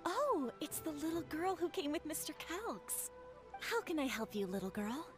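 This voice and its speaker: An actress playing a polite, apologetic shopkeeper auntie in a video game. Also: female voice, sits high, sing-song, normal speed, music in the background. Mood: curious. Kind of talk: video game cutscene voice acting.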